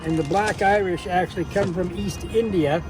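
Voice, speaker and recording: A man's voice: high-pitched, fairly even intonation, moderate pace. An older man who builds wagons, telling rambling folksy stories in a casual outdoor interview. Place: outdoors by a van on a street. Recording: background music under outdoor talk.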